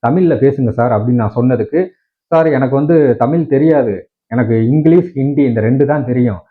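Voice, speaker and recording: A man's voice: mid-range voice, a little fast, phone-quality sound. A man with a Tamil Nadu accent complaining to viewers in an indignant, argumentative way with many rhetorical questions. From Tamil Nadu, India. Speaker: tech video creator.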